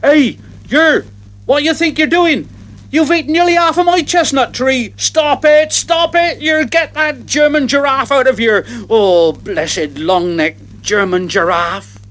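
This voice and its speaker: A man voicing an angry head gardener who shouts in a shrill, high voice. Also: a little slow. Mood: furious.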